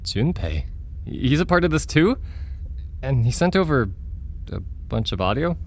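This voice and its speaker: A man acting an excitable, forgetful young man who tells his story in an earnest, conversational way for an audio drama. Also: mid-range voice, unhurried. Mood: puzzled.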